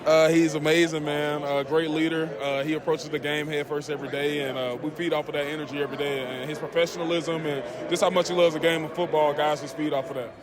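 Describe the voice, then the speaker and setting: A man's voice: a little high, flat delivery, fast, few pauses. A man, a football player, giving a casual interview answer. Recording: busy crowd behind the voice.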